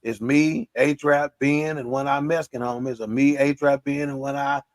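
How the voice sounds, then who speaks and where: Brief: male podcast co-host, mid-pitched, slangy storyteller, dry close sound. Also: steady tone, a little fast, dry recording. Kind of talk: casual discussion.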